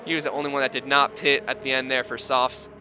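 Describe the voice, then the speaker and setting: A man's voice: medium pitch, little pitch movement, fast, bright, forceful tone, slight background noise. A man calling the results of a sim racing event as host, upbeat and folksy, with a sore, strained voice. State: voice sore, losing his voice. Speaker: race commentator and host.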